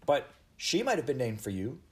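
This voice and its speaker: A bold and eager young girl, played by a man reading a storybook. The voice is raised well above an adult male pitch and moves around a lot. The manner is forthright, talkative and excitable. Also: moderate pace, a little hiss or hum, close to an everyday voice.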